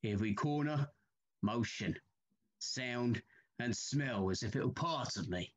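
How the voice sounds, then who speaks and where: A man playing a troubled railway signalman in an audio drama, haunted and deferential, speaking haltingly. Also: medium pitch, lively intonation. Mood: grave, uneasy. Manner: slow, halting, deferential, uneasy.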